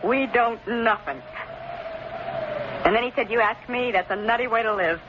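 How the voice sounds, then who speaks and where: A woman's voice: a little high, moderate pace, lightly acted. Anxious radio-drama wife played by a woman, muffled recording. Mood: hesitant, earnest. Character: anxious, searching wife trapped with her husband. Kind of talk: old-time radio drama acting. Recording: noisy, muffled old radio broadcast recording.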